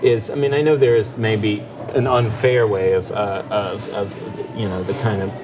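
A man's voice: fairly low pitch, fairly even intonation, normal speed, background noise. A man co-hosting a casual talk show, speaking thoughtfully with many fillers and restarts. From part Finnish heritage. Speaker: podcast co-host. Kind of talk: casual discussion.

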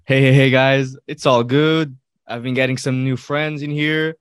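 A man's voice: mid-range voice, steady tone, measured pace, smooth, dry recording, studio quality. A man chatting casually and informally as a podcast co-host, often repeating words as he goes. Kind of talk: casual chat.

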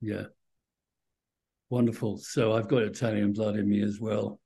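A man's voice: fairly low pitch, flat delivery, a little slow, gravelly, warm tone, breathy edge. A man hosting a conversational interview, chatty and enthusiastic in his manner. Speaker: interview host.

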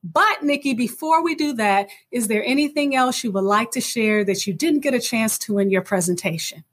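A woman's voice: a little high, lively intonation, normal speed. A woman radio host presenting a storytelling show and interviewing her guest.

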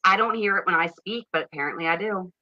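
A woman's voice: fairly low pitch, fast. A woman in her thirties from Pennsylvania with a Pennsylvania accent, co-hosting in casual, laughing chat. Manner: chatty, laughs often, repeats words. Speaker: podcast co-host. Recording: dull lo-fi audio.

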